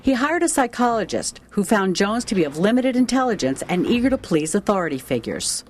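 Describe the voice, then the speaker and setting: A woman's voice: medium pitch, big pitch swings, a little fast, a little hiss or hum. A woman reporter delivering scripted investigative news narration.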